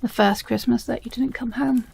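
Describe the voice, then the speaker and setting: Woman acting a gravelly, obsessive British stepsister. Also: fairly high pitch, steady tone, moderate pace, a little mellow, exaggerated voice. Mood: anguished, unhinged. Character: obsessive, possessive yandere stepsister, unstable and menacing. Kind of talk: audio roleplay, dramatic monologue to the listener.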